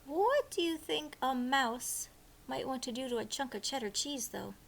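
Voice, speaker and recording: A woman reading a picture book aloud as a teacher would, animated, with hushed asides to young listeners. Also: sits high, normal speed, gravelly, a little hiss or hum.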